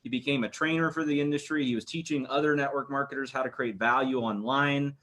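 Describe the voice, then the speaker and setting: A man's voice: mid-range voice, fairly even intonation, fast talker, sharp tone. A man co-hosting an event, casually thanking and introducing speakers. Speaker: event co-host.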